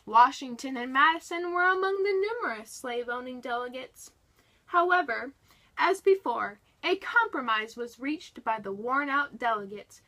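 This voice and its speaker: High-pitched, bright woman reading aloud dramatically. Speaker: reader of a nonfiction history book. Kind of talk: dramatic read-aloud, scripted narration.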